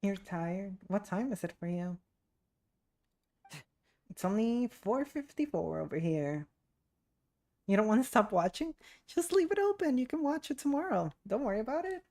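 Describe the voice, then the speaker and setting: A woman's voice: medium pitch, expressive, normal speed, long pauses, dark tone. A young adult woman streaming a game, chatting casually and rambling as she muses aloud. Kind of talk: casual livestream chat while gaming.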